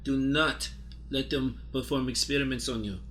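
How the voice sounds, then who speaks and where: A man's voice: mid-range voice, fairly even intonation, normal speed, fairly bright tone, a little hiss or hum, natural voice. A man playing a desperate widowed woman who gives urgent, melodramatic, repetitive pleas in an improvised comic radio play. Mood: urgent warning. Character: desperate widowed woman Yvette, frantically warning of experiments.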